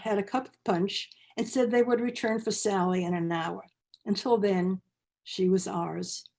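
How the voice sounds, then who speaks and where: A woman telling a holiday memory as an expressive, theatrical storyteller. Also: medium pitch. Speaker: storyteller, actor.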